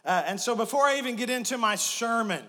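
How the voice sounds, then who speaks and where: Man, pastor, head cold, high voice, chatty sermon, long hall reverb. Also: animated, fast talker, pushed and bright. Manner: chatty, joking asides. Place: church sanctuary.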